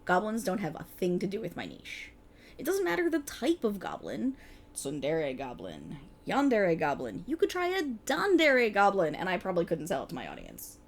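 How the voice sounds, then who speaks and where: Woman playing an animated, sarcastic best-friend voice actor. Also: fairly low pitch, moderate pace, heavily acted. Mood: amused exasperation. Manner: sarcastic, chatty, casual, hesitant asides. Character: exasperated, playful young woman voice actor bantering with best friend. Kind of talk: ASMR roleplay, one side of a conversation.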